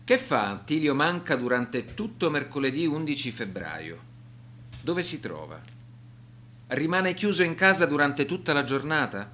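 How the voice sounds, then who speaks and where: Narrator, male: scripted documentary radio drama, grave and measured, somewhat high register, animated contours.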